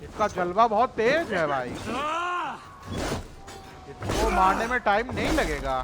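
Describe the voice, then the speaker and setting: Male gamer, very fast high delivery, brash casual slang, noisy recording with clicks. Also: big pitch swings. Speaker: gameplay commentator. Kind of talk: casual gaming commentary.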